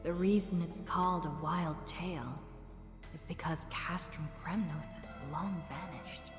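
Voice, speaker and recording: A woman playing a solemn storyteller in a game, narrating a hero's legend in a measured, grave, theatrical manner. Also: low-pitched, steady tone. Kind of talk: scripted dramatic narration. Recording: echoey hall reverb, loud music behind.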